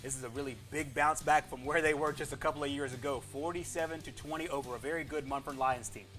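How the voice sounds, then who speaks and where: Fast-talking male sports show host. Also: fairly high pitch, fairly even intonation, fairly bright tone, faint music behind. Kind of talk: upbeat high school football score roundup.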